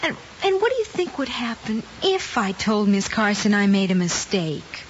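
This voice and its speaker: An actress playing an earnest, principled teenage girl, gentle and hesitant, in an old television drama. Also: medium pitch, sing-song, normal speed, lightly acted. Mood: concerned. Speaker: actor. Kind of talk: mid-century American television drama acting. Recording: muffled, faintly hissy old television soundtrack.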